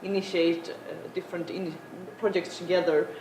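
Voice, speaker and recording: Young woman giving a halting panel talk. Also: lowish voice, fairly even intonation. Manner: halting, many filler sounds. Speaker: panel speaker. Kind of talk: conference talk. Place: large conference room. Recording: echoey conference room, noisy.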